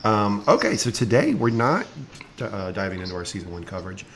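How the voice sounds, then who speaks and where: A man hosting a podcast in casual chat, playful and animated, with a slight rasp in his voice. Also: fairly low pitch, a little fast, slight background noise. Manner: playful, animated, laughs often. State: slightly raspy from lost voice. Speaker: podcast host.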